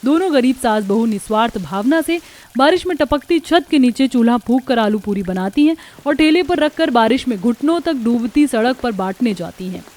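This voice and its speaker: A woman's voice: a little high, lively intonation, normal speed, darkish tone. A woman reading scripted narration for a cartoon story as its storyteller.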